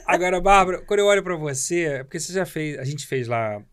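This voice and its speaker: Effusive Brazilian man, higher-pitched voice. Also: expressive, quick pace, noisy background. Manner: effusive, often interjecting. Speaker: podcast host. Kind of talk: casual conversational interviewing.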